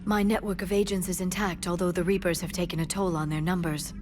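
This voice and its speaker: A woman playing a composed, earnest alien scholar and information broker in a video game, speaking in a measured, articulate way. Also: on the low side, flat delivery, natural voice. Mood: reflective, measured. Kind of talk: video game character dialogue. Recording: clear ambient noise behind game dialogue.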